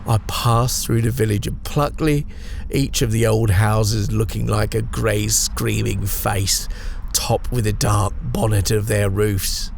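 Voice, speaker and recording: Gravelly, haunted man acted by a male storyteller. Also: lowish voice, fairly even intonation, a little hiss or hum. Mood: panicked, shaken. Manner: shaken, haunted, halting with stammered repeats. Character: haunted, guilt-ridden man recording his terrifying confession. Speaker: storyteller reading own horror story. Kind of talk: first-person dramatic horror narration.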